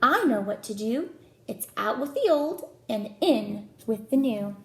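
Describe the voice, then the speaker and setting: A woman's voice: fairly high pitch, expressive, a little slow. A woman voicing a small, eager, helpful wren from a children's storybook in a reassuring way. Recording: echoey room with faint noise.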